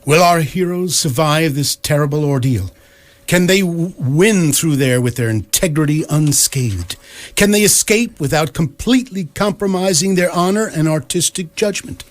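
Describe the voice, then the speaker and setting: A man's voice: fairly high pitch, normal speed, natural voice. A man playing a dry, mock-solemn narrator in a scripted radio play. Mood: mock-dramatic. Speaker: narrator and announcer.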